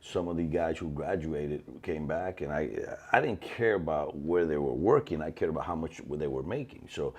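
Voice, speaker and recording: Guy in his fifties, real low voice, rambles and restarts a lot, chatty interview. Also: moderate pace, few pauses, slightly rough. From Cuba. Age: 50s. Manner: animated, rambling, many restarts. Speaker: cigar company owner. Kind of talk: casual storytelling interview.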